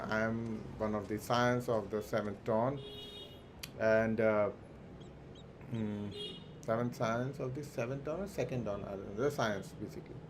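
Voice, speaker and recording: A man hosting a game livestream with casual commentary, halting and repeating himself as he thinks aloud. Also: medium pitch. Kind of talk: casual live gameplay commentary. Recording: music behind the voice. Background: clear background music.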